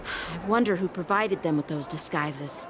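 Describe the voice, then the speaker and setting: A woman's voice: lowish voice, moderate pace, background noise, close to an everyday voice. A woman voicing an earnest, dutiful teenage boy knight lieutenant in a game, speaking formally and conscientiously. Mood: earnest, resolute. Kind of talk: acted game story dialogue.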